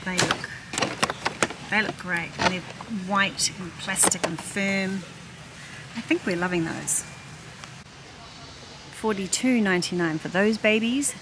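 A woman's voice: fairly low pitch, slow, long pauses. A woman, chatting to the camera while shopping and reading out prices as she goes. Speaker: vlogger. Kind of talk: shop-with-me vlog narration. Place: large warehouse store, indoors. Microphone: handheld phone camera. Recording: noisy warehouse store ambience. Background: store ambience.

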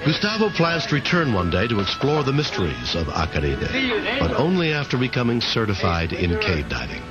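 A man's voice: medium pitch, wildly swooping pitch, normal speed. A man narrating a television documentary from a script. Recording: muffled 1990s TV tape. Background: loud dramatic music.

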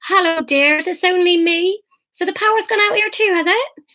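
A woman's voice: very high-pitched, moderate pace, bright, forceful tone, pitched above the performer's own voice. A woman voicing a tiny, chatty old British lady, a genteel and fussy neighbour in a storybook reading. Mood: chirpy, inquisitive.

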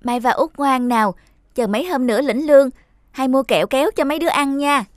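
A woman playing a gentle, self-sacrificing eldest sister in a cartoon. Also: high-pitched, a little fast, dry recording, clean studio sound, soft background music, natural voice. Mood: soothing. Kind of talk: cartoon dialogue.

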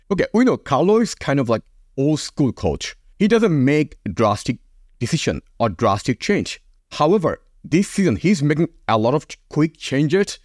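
A young man hosting a football fan channel, reacting in casual, excitable English with small grammar slips. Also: on the high side, no room sound, studio quality. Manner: excitable, fan talk in non-native English. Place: small room with teal backdrop.

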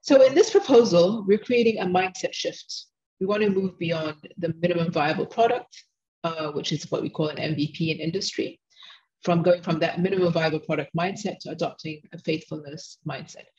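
Woman giving a seminar talk on a video call, quick, lots of restarts, kinda muffled audio. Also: fairly low pitch, a little mellow. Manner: quick, with frequent restarts and self-corrections. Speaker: invited seminar speaker. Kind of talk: academic lecture over slides. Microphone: video call microphone.

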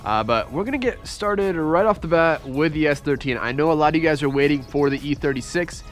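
A young man with a congested voice, chatting excitedly to viewers as he works on his car in a driveway, recorded on a head-mounted camera. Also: on the high side, a little fast, soft background music. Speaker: car vlogger. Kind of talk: casual point-of-view vlog. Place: outdoors in a driveway, working in a car. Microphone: head-mounted action camera.

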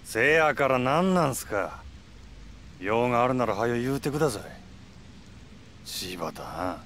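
An actor playing a hot-headed young yakuza with a Kansai accent in a game cutscene, blunt, rough-spoken and agitated. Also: male voice, mid-range voice, animated, takes it slowly, a bit bright, a little hiss or hum. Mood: impatient. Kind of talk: video game cutscene acting.

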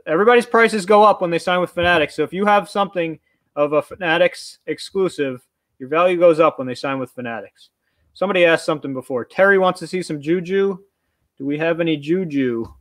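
Chatty man hosting a live auction stream. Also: on the high side. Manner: chatty, reads viewer comments aloud, moves around while talking. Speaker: auction livestream host. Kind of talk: casual live-stream chat. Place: small storage room full of shelves, indoors. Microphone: webcam mic at desk, sometimes farther away.

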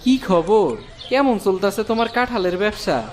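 A man's voice: high voice, moderate pace, a little hiss or hum, close to an everyday voice. A man voicing a helpful, friendly village woodcutter in a cartoon, with a rural eastern Bengali accent. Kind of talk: cartoon voice acting.

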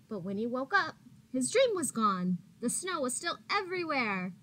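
A woman teacher reads aloud to small children. Her voice sits a little high and she takes her time, stretching words expressively as she tells the story. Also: big pitch swings, measured pace, bright, forceful tone, rough recording. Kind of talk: picture-book read-aloud for young children. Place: small indoor classroom. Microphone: laptop or webcam at arm's length.

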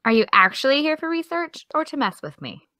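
A woman plays a defensive young woman in an audio drama, her voice fairly high and bright with lively swings in pitch. She is exasperated and snaps back with dry comebacks. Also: a little fast, dry recording, full character voice. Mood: annoyed. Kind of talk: audio drama dialogue of a video call.

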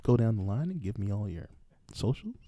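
Lively-pitched man hosting a podcast. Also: a little fast, dry recording. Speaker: podcast host. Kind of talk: casual comic group chat. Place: small room at home, indoors. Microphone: close podcast mic.

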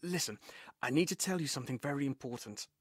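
A man's voice: mid-range voice, fast, husky, no echo. A man joking and testing the mic between takes in a studio voice session. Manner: playful, animated, mic-testing banter. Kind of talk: game voice session outtakes. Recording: dry studio.

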